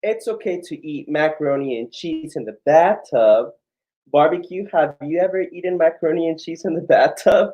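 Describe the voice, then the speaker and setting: A drag queen co-hosting a children's story time, reading aloud in a theatrical, encouraging, drawn-out way with playful banter. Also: mid-range voice, animated, muffled recording.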